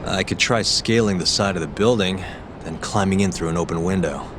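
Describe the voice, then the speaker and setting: An actor playing a brash, laconic private detective in a video game, with a dryly teasing manner. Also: male voice, low voice, a little fast, noisy background, close to an everyday voice. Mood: confident, teasing. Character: dry, brash, reckless male private detective. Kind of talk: English game dub acting.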